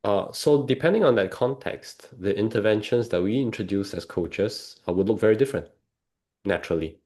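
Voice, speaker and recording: A man from Singapore, a coach being interviewed, explaining in a measured, conversational way. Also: on the low side, lively intonation, warm tone. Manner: measured, explanatory, checks with "right?". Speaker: agile coach, interview guest.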